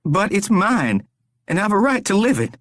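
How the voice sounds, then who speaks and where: A man voicing a terrified young man who is tied up and desperately pleading for his life, his voice strained and high. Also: big pitch swings, normal speed, a bit bright, dry recording, clean studio sound.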